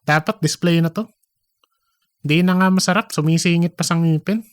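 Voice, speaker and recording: A man's voice: on the high side, normal speed, clear, resonant tone, no room sound, lightly acted. A man playing a boastful, philandering office worker angling to be manager in a comedic audio story, gossipy and repetitive. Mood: bossy, grumbling. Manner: cocky, gossipy, repeats himself.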